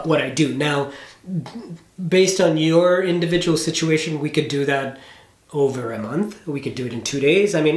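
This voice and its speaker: A man working as a business coach, talking someone through ideas casually and emphatically, often checking that they follow. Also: fairly high pitch. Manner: explanatory, emphatic with rhetorical check-ins. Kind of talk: casual coaching phone call. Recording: roomy, echoey room sound.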